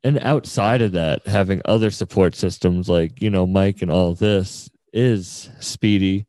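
A man's voice: sits low, unhurried, mellow, no echo. A man hosting a podcast, interviewing in a casual, slangy and encouraging way. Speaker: podcast host interviewing a musician.

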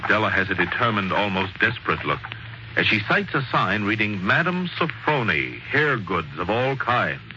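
A man narrating a story in dramatic 1940s radio style, with a theatrical, wry, measured delivery. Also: on the low side, sing-song. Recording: muffled, noisy 1940s radio recording.

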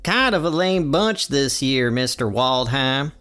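A man voicing a brisk, sardonic serving woman from a cartoon restaurant. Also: medium pitch, expressive, pushed and bright, faint music behind.

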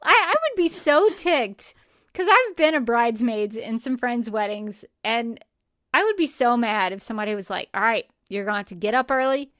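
A woman's voice: fairly high pitch, expressive, moderate pace, fairly bright tone, no echo, clean studio sound. A woman co-hosting a podcast in a casual, chatty and emphatic way, often acting out imagined remarks. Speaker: podcast co-host. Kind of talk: casual chat.

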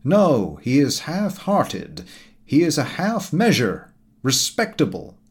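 A man's voice: fairly high pitch, huge pitch swings, takes it slowly, exaggerated voice. A man voicing a pompous, opinionated American guest who lectures a dinner table in grand declamatory style, in a storybook reading. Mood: scornful oratory. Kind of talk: storybook character dialogue.

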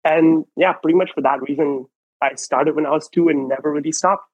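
A man's voice: a little high, steady tone, brisk, a little mellow, dry recording, dull audio. Rambling young man, dry close sound. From Malaysia. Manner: loose and rambling, many likes and restarts. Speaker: podcast guest, artist. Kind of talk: casual storytelling interview. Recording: dry close mic, faint music behind.

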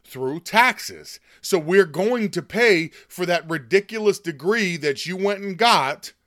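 A man from the United States, hoarse from a chest cold, giving a scornful, indignant opinion monologue as host. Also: sits high, expressive, slow, a bit bright, no room sound, clean studio sound. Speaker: political commentary host.

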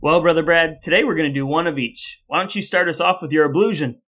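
A man's voice: a little high, fast, a bit bright. An American man co-hosting a podcast in a conversational style. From United States. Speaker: podcast co-host.

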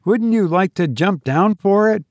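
A man's voice: high voice, lively intonation, unhurried, a little mellow, no room sound, clean studio sound, pitched above the performer's own voice. A man voicing a young woman in an audiobook, girlish and emotional, tender and easily startled. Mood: playful, curious. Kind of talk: audiobook character voice.

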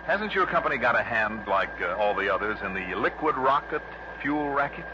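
An actor playing a breezy, wisecracking American insurance investigator in 1960s radio drama style, with a snappy, casual delivery. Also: male voice, mid-range voice, lively intonation, a little fast, natural voice. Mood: casual, probing. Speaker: lead actor and narrator. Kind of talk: 1960s radio drama acting with first-person narration. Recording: muffled, noisy vintage radio broadcast.

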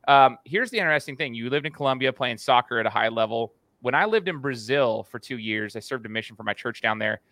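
An American man hosts an interview podcast in a bright, punchy voice. He sits at a middle pitch and sounds close and dry, with no room around him. His way of talking is informal and chatty, like one buddy to another. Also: fast, few pauses, no room sound. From United States. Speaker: podcast host. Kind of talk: casual, chatty interview hosting.

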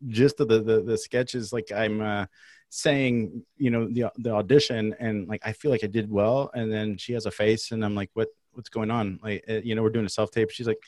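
A man's voice: lowish voice, fairly even intonation, normal speed, darkish tone, no room sound. Laughing, rambling man, dry sound. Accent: Mexican American. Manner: rambling storyteller, laughs often. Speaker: actor, podcast host. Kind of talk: casual chat.

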